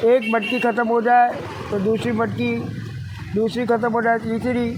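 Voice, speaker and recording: An older man speaks in a very high voice, calm and reflective with formal wording. Clear outdoor noise and passing traffic sit behind him. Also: normal speed. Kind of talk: recollection in interview.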